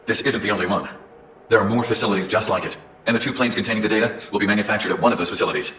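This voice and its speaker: An actor playing a weary, guilt-ridden scientist in a game, narrating in a somber, measured, confessional way over loud music. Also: male voice, fairly low pitch, a little hiss or hum. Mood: resigned. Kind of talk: scripted dramatic narration.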